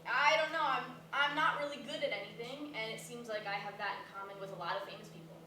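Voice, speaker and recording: A woman's voice: a little high, steady tone, quick pace, lightly acted. Echoey, rambling flighty young woman played by an actress. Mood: flighty, offhand. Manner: rambling, hesitant, filler-laden. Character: flighty, blunt young woman, a fame-hungry college dropout. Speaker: stage actor in a staged reading. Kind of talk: naturalistic stage comedy dialogue. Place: live staged reading in a reverberant room. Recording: echoey room from far off, noisy.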